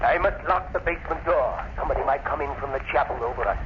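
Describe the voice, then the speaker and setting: A man's voice: mid-range voice, quick pace, lightly acted. An actor playing a vengeful, theatrical mad professor who taunts his enemy, in a 1930s radio thriller style. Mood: sinister, controlled. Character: vengeful, gloating mad professor, crippled villain. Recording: noisy muffled 1930s radio recording.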